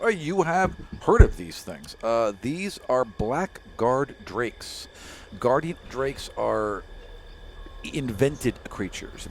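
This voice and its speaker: A man's voice: mid-range voice, slight background noise. A man narrating a tabletop game as game master, improvising dramatic descriptions with casual asides. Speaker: game master and player on an actual play podcast.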